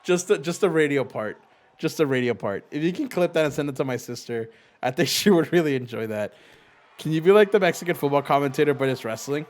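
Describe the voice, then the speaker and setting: A young man hosting a game stream, chatting casually and commenting on the play in a slangy way that trails off into quick restarts. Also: fairly high pitch, animated. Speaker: streamer host.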